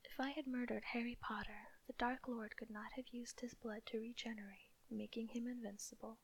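A sneering, fanatical witch, jealous and quick to flare, whispered by a woman in a breathy, gravelly hush. The voice sits a little high. It is a hushed storybook reading. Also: fairly even intonation, poor audio. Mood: indignant. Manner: whispered throughout.

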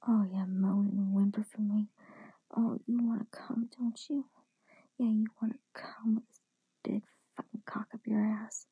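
A woman speaking in a breathy whisper, commanding and teasing, in an intimate adult audio roleplay addressed to the listener. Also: medium pitch, dry recording, lo-fi sound.

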